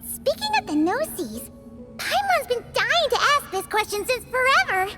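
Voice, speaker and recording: A woman's voice: extremely high pitch, big pitch swings, moderate pace. A woman voicing a tiny, chirpy, nosy female companion who talks about herself in the third person, in a game. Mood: exasperated. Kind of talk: video game voice acting. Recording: game audio over loud music.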